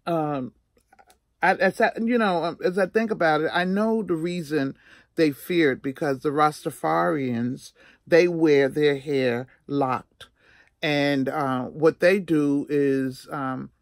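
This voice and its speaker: A woman in her sixties telling a personal story in a casual, chatty vlog style, animated and emphatic, often repeating herself for effect. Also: sits low, no echo, studio quality. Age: 60s.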